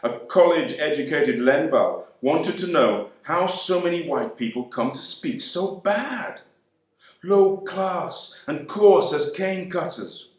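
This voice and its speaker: Man reading a dramatic monologue, slow and deliberate, lots of room echo. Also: on the high side, animated. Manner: measured, deliberate, emphatic delivery. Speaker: reader performing a monologue. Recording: very echoey room.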